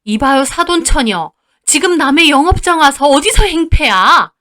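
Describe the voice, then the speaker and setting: A woman's voice: high-pitched, lively intonation, moderate pace, sharp tone, voice pushed up. A woman voicing a furious, contemptuous older mother-in-law in a dramatized story, scolding harshly. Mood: indignant scorn. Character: furious, spiteful older mother-in-law berating her daughter-in-law.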